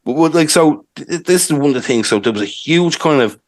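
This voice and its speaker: A man's voice: fairly high pitch, animated, fairly quick. A young man with a Dublin accent, chatting casually as a podcast co-host and rambling with lots of fillers. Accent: Irish, Dublin. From Ireland. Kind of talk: casual history chat.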